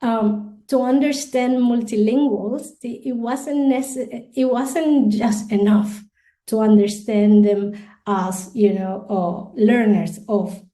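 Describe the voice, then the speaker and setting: Reflective woman with a mellow voice. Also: fairly high pitch, fairly even intonation, slow pace. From Colombia. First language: Spanish. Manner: thoughtful, many restarts and repeated words, light laughs. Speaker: guest, international educator. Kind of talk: conversational podcast interview.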